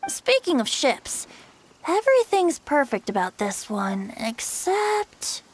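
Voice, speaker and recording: High, lilting boyish bard. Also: male voice, huge pitch swings, slow, heavily acted. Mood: breezy, musing. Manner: lilting, theatrical, pleading. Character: short, cheerful, wheedling young male bard who loves drink. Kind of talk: animated video game voice acting.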